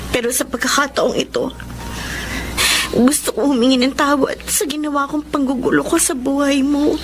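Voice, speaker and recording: A woman's voice: sits high, background noise. A proud woman asking forgiveness. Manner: hesitant, apologetic.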